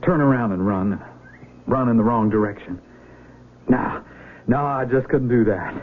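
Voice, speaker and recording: An actor playing an eager, naive young Civil War recruit with a folksy, stammering drawl, heard on a tinny old radio broadcast. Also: male voice, mid-range voice, unhurried, natural voice. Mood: earnest. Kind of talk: 1970s radio drama acting.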